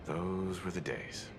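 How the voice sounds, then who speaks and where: A man voicing a gentle, nostalgic older gentleman mentor in a video game, wistful and unhurried. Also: heavily acted. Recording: clear rumbling background noise.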